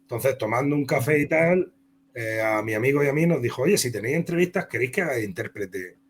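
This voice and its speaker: A man's voice: medium pitch, animated, quick pace, a little hiss or hum. A man from Spain with a Castilian Spanish accent, telling career anecdotes as an interview guest in a rambling, conversational way. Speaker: comic book author and interview guest.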